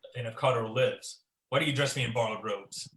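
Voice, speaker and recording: Young guy doing a brooding ambitious warrior lord, bright tense voice, roomy echo, shakespeare radio play. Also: medium pitch, fairly quick, lightly acted. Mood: startled ambition. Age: young adult. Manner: brooding, theatrical verse delivery. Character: ambitious warrior lord, troubled by dark thoughts. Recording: echoey room sound.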